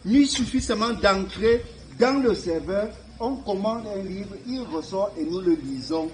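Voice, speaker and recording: High-voiced man, emphatic thanks. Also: animated, a little slow, echoey room, a little hiss or hum, low-quality recording. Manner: emphatic, repeats key words, uses proverbs. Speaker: school official thanking donors. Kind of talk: formal thank-you speech.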